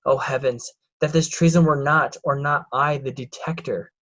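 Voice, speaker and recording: A man's voice: mid-range voice, steady tone, normal speed, a bit bright, lo-fi sound, close to an everyday voice. A man playing a scheming, hypocritical young nobleman in a Shakespeare reading. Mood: feigned regret. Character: scheming hypocrite Edmund, ambitious young bastard son. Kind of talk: remote reader's theatre Shakespeare.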